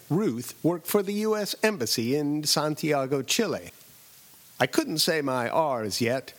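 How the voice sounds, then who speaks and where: A middle-aged man from Southern California narrating a scripted personal story as host, with a wry, deadpan delivery and dramatic pauses. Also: a little high. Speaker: radio essayist and host.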